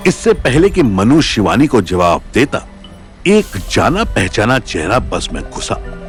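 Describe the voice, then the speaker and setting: A man's voice: lowish voice, sing-song, measured pace. A man narrating a scripted audio story as its storyteller. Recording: music bed behind voice.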